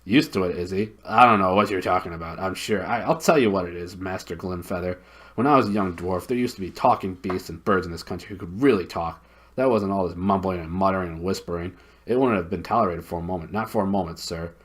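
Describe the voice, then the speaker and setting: A man's voice: deep voice, quick pace, a bit bright. Man doing a cranky deaf old dwarf. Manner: gruff, irritable.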